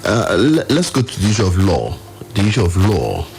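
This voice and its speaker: A man's voice: lowish voice, wildly swooping pitch, normal speed, vocal fry, a little mellow. A man with a Nigerian accent hosting a radio talk show, repeating phrases for emphasis. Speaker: radio host.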